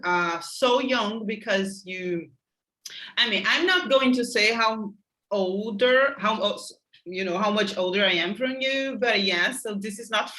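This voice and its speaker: A woman's voice: medium pitch, rough recording. A middle-aged woman from Brazil with a Brazilian Portuguese accent, asking interview questions in English and often searching for words. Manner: warm, searching for words, restarts.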